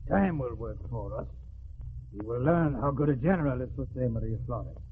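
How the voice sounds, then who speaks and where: A man's voice: medium pitch, moderate pace, natural voice. Man playing boastful general, tinny old radio. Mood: stubborn resolve. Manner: boastful, declamatory. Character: proud, confident Mexican general besieging a fort. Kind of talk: old-time radio acting. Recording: tinny, noisy vintage radio recording.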